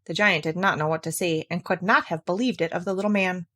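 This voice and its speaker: A woman's voice: on the low side, fairly even intonation, quick pace, pushed and bright. A woman narrating a classic fairy tale, reading aloud from the text as a storyteller.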